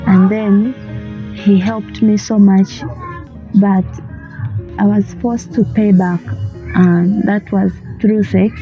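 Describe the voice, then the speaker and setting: A woman from Uganda giving a plain, matter-of-fact first-person testimony for a video. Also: medium pitch. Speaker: refugee telling her story. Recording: muffled video audio.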